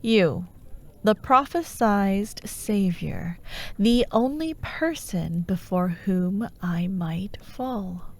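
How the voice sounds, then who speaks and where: A woman playing a sardonic female fantasy villain who haunts dreams, in a breathy, often whispered ASMR roleplay with a wry, taunting manner. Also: medium pitch, animated, darkish tone, exaggerated voice. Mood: cool, condescending. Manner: wry, taunting, theatrical, unhurried.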